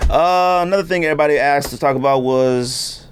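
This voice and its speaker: A man's voice: on the high side, brisk, slight background noise. A man hosting a podcast in casual, slangy chat, telling stories with acted-out quotes. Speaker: podcast host. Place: indoor podcast studio.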